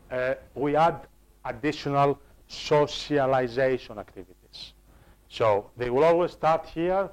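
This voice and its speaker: A man's voice: medium pitch, low-quality recording. A man presenting on online course design in a live workshop. He often restarts and repeats words as he explains, and he checks in with the audience through questions. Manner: addresses the audience directly with questions. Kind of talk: live workshop talk to staff.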